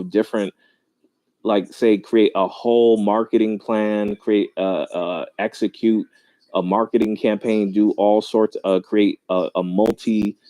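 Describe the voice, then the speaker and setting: Mellow-voiced skeptical man. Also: lowish voice, dry recording. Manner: skeptical, drawn-out, searching for words. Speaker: debate panelist. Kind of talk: casual debate.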